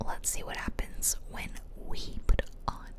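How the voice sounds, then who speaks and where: Whispering woman doing ASMR game commentary. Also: unhurried. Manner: casual, chatty, rambling. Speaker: video game streamer, ASMR host. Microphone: close microphone, ear-to-ear whispering.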